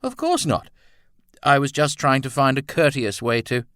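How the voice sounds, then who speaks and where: Casting: courteous young gentleman played by a man, measured, polite, immovable, bright dry sound, audiobook fiction. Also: mid-range voice, sing-song, no room sound, voice pushed up. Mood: defensive. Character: calm, courteous, stubborn young gentleman painter.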